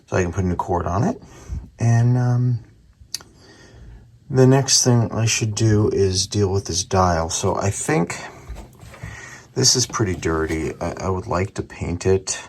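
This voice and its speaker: A man's voice: sits low, a little slow, long pauses, a little hiss or hum. A man narrating a hands-on repair in a casual, unscripted way, thinking aloud with some hesitation. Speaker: radio repair hobbyist presenting his work.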